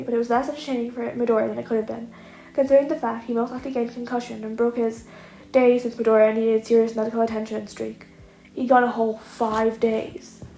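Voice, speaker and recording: A woman's voice: a little high, gravelly, warm tone. A woman reading scripted comic fiction aloud as a story narrator. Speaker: narrator, podfic reader. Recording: echoey room, audible noise.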